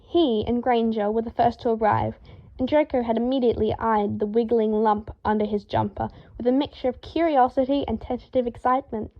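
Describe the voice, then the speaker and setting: A woman reading a story aloud in a lively, expressive way, acting out the dialogue. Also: a little high, brisk, highs cut off. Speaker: fan fiction reader.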